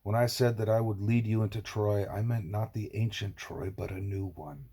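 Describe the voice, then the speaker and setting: Man acting exiled prince, audiobook, gravelly, cool, controlled, proud, defiant. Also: lowish voice, fairly even intonation, moderate pace, warm tone. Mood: cool, resolute. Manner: controlled, firm, declarative.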